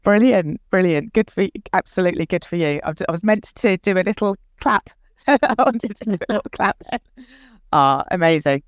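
A woman's voice: on the low side, expressive, fairly quick, no room sound, muffled recording. A woman hosting a podcast interview, talking in a chatty, enthusiastic way with many fillers. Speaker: podcast host.

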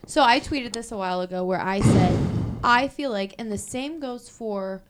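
Young female co-host, opinionated and animated, chatty and rambling. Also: medium pitch, normal speed, a little hiss or hum. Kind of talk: casual chat.

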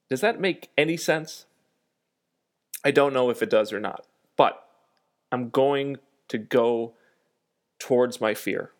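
A man with a nasal voice hosting a solo podcast, thinking aloud in a reflective, self-deprecating way with frequent pauses. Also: medium pitch. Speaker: podcast host.

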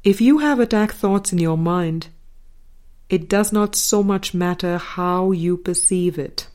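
A woman reading a scripted spiritual dialogue aloud in a measured, deliberate way, pausing between short phrases. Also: lowish voice, darkish tone. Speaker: audiobook reader.

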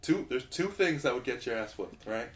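A young man talking as a podcast guest in casual chat, quiet-voiced, blunt and joking. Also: mid-range voice, animated, moderate pace.

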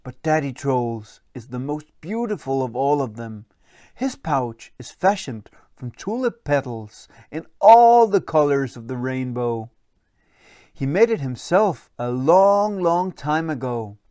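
A storyteller narrating a children's bedtime story in an expressive, deliberate way. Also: medium pitch, dry recording.

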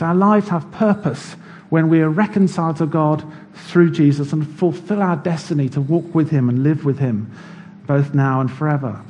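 A man preaching a sermon in a church, explaining scripture in a measured teaching manner. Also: on the high side, dark tone, a little hiss or hum.

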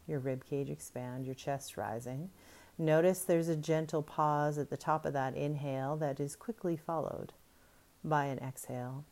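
A woman guiding a breathing meditation in soft, soothing, unhurried instructions. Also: extremely low pitch. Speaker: meditation guide.

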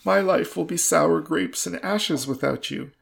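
Man acting a plaintive, husky middle-aged gentleman suitor. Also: on the high side, measured pace, pitched above the performer's own voice, heavily acted. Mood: anguished, gloomy. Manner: melodramatic, plaintive. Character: lovelorn, flabbergasted, gloomy social-climbing Victorian gentleman, middle-aged.

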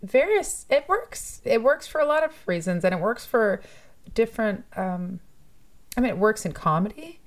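A woman's voice: on the high side, expressive, normal speed. A woman from Toronto, a musician, talking in a casual interview in a thoughtful, chatty way and laughing often. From Toronto, Canada. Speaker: musician and guest.

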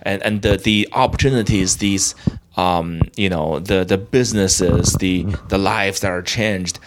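Deep-voiced young man from China. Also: steady tone, noisy background. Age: young adult. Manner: halting, many restarts and fillers. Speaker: interview guest. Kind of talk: casual interview talk.

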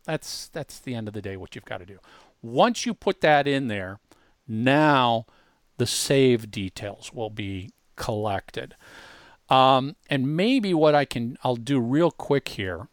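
Male tutorial host, mid-pitched and dry-sounding, informal, hammers key points directly at the listener. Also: lively intonation, takes it slowly, no room sound. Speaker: co-host demonstrating an online tool. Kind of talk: casual software walkthrough.

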